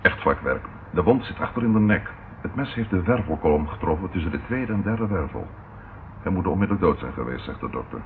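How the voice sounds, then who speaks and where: Deep, gravelly police detective. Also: male voice, expressive. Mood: grave, factual. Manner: deliberate, matter-of-fact. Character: measured, shrewd policeman colleague reporting grim findings. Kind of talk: radio play acting. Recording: muffled, noisy old radio recording.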